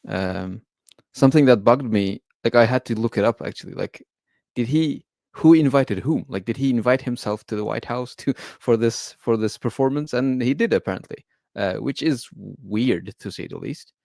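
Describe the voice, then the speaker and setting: A man's voice: mid-range voice, normal speed, creaky, darkish tone, dry recording, clean studio sound. A man from Turkey, a guest on a livestream panel, speaking casually with many fillers.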